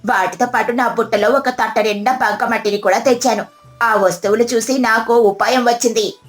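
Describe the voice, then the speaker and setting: A woman voicing a resourceful, calm village woman in a moral-story cartoon, reassuring and explaining as she goes. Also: on the high side, normal speed, soft background music, lightly acted.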